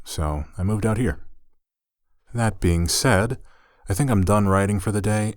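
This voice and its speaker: A man acts out a young male roommate in an intimate ASMR audio roleplay, his voice deep and recorded very dry. He is easygoing and gently teasing, a little awkward, with casual phrasing and hesitant trailing asides. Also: dry recording, natural voice.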